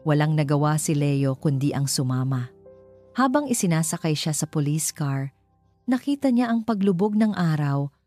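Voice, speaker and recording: A woman's voice: sits low, warm tone. A woman with a Filipino accent reads a scripted romance story as its narrator.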